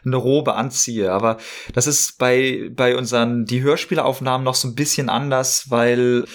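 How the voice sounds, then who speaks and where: A man with a voice of medium pitch chats as a podcast guest. He explains things informally, in loose spoken sentences. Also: fairly even intonation, moderate pace. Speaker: interview guest, role-play podcaster. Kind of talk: casual explanatory chat.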